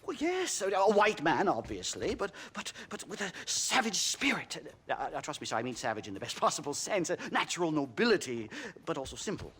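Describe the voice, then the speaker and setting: A middle-aged actor playing an eccentric, nervous anthropology professor who stammers, fusses and bursts into excited lecturing in a game cutscene. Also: male voice, a little high, a little fast, few pauses, husky, heavily acted. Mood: eager lecturing. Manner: stammering, fussy, giddy bursts. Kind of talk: video game cutscene acting.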